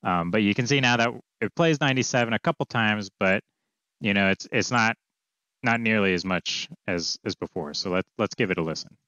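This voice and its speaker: A man's voice: lowish voice, fairly quick, pushed and bright, no room sound, lo-fi sound. A man in his thirties giving a casual screencast tutorial, informal and offhand, thinking aloud as he explains. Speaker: coding tutorial presenter. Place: small indoor room, screen recording with webcam.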